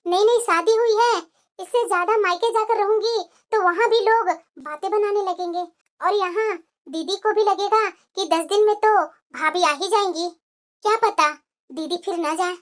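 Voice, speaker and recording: An extremely high, girlish cartoon voice acted by a woman for a newlywed daughter-in-law. She is polite and quietly scheming, reasoning things out respectfully. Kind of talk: Hindi moral-story cartoon acting.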